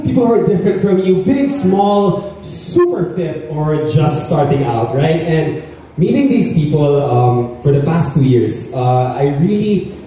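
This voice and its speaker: A man's voice: a little high, mellow, far from the mic, a little hiss or hum. Upbeat man in his twenties, echoey hall. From Philippines. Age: 20s. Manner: upbeat, engages the audience. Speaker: group fitness instructor. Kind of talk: motivational stage speech. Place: large indoor hall stage. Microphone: handheld mic, filmed from far.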